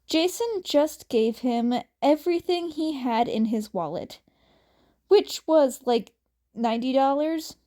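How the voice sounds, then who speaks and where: High-voiced woman reading a story, unhurried. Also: animated, warm tone. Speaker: amateur fan fiction reader. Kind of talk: scripted story narration, podfic.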